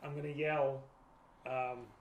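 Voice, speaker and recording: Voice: medium pitch, level tone, takes it slowly. A tabletop game player chatting casually while working out moves with the group. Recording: echoey room with faint noise.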